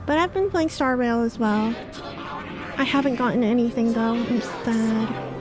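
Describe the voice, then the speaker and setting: High-pitched, flustered woman game streamer. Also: measured pace. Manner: excitable, flustered, exclamatory, repeats words in panic. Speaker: streamer, host; English literature teacher. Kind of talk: casual livestream gaming chat. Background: game music.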